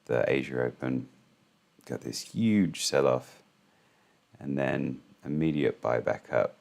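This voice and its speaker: A man with an extremely low, hoarse voice talks through the morning's market moves. He speaks in a casual, halting way, full of ums and restarts, like a host thinking aloud on his own channel. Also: a little mellow.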